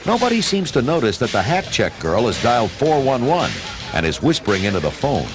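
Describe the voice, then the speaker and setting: A man's voice: medium pitch, sing-song, brisk. A man giving dramatic scripted narration as the narrator of a radio play. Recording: music behind the voice.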